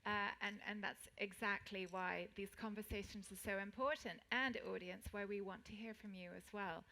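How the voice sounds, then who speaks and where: A woman's voice: medium pitch, steady tone, moderate pace, sharp tone. A woman with a mid-range voice moderates a panel. She passes the floor to others and draws the audience in with gracious, inviting remarks.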